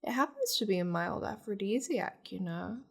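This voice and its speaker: A woman voicing a seductive, possessive demon lady in an ASMR roleplay, languid and teasing, slipping into breathy half-whispers. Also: medium pitch, lively intonation, normal speed. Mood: playful, doting. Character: seductive, possessive powerful demon lady doting on her pet.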